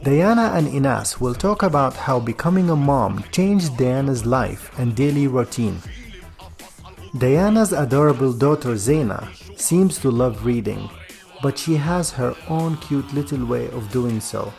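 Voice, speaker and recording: A man with a gravelly voice, reading a scripted podcast introduction as host. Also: mid-range voice, lively intonation, measured pace, darkish tone, faint music behind.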